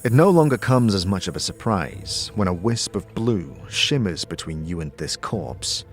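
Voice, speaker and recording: A man reading scripted second-person fantasy narration as a game master, in a measured, dramatic storytelling manner. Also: low-pitched, very animated. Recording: background music.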